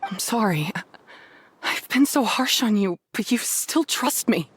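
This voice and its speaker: A woman's voice: mid-range voice, animated, a little slow, fairly bright tone, slight background noise. A woman playing a remorseful, tender young female creature tamer in a video game, speaking earnestly. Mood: moved, apologetic.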